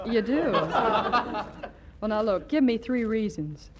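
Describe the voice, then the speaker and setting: A brassy scheming flirt. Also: female voice, a little high, animated, slow pace, over a noisy crowd. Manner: brassy, wisecracking. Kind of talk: 1940s radio comedy acting. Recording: hissy 1940s radio recording.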